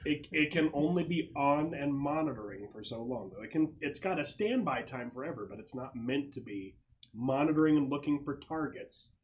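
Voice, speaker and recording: A man's voice: mid-range voice, lively intonation, moderate pace, darkish tone. A man speaking casually as game master, narrating a tabletop roleplaying game to his players. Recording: noisy room with clicking sounds.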